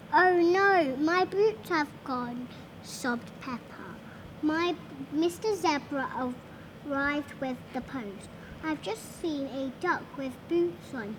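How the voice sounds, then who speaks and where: A little girl of about five reads a storybook aloud in a high voice. She goes slowly and haltingly, working through words with care. There is clear background noise on the amateur recording. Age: child, about five. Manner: halting, sounding out words carefully. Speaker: young reader. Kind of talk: reading a children's storybook aloud.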